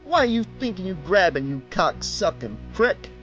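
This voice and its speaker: A man's voice: very high-pitched, unhurried, muffled recording, soft background music, pitched above the performer's own voice. Man voicing a bellowing Spanish-accented thug. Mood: menacing. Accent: Spanish-accented English. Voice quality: harsh, bellowing and profane. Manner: taunting, menacing, loud. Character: brutal burly male street thug.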